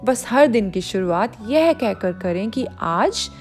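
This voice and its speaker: A woman's voice: medium pitch, animated, slow, a little mellow, soft background music. A woman reading a picture book aloud to children, explaining as she goes and speaking straight to the young listener. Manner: explanatory, addresses the child listener directly, glosses words. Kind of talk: expressive read-aloud narration for children.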